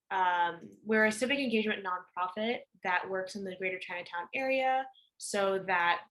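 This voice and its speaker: A woman's voice: mid-range voice, a bit bright, rough recording. A young woman, the lead organizer, hosting an online event in a chatty, slightly scattered way and apologizing for tech hiccups. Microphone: video call.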